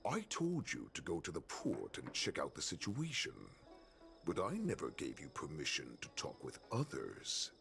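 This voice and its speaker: A very deep, gravelly wolfish warlord. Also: male voice, huge pitch swings, fairly bright tone, soft background music, heavily acted. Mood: cold threat. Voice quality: gravelly, with breathy whispered menace. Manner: slow, taunting, deliberate threats. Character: menacing wolfish warlord villain, predatory and taunting. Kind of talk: dramatic video game voice acting.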